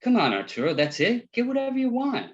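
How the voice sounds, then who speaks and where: A man's voice: very high voice, wildly swooping pitch, brisk, lo-fi sound, heavily acted. An actor at a table read playing a breezy, chummy male movie director, slangy and eager to seem cool. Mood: chatty, eager.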